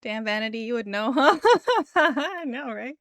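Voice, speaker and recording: A woman livestreamer chatting casually with her viewers in a playful, giggly, expressive way. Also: fairly high pitch, a little slow, no echo, studio quality. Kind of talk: casual chat with stream viewers.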